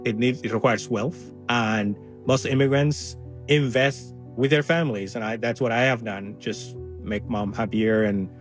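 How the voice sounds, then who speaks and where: A man's voice: mid-range voice, steady tone, measured pace, faint music behind. Earnest man. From Somalia. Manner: earnest, voices others' words animatedly. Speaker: interview guest. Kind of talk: conversational interview.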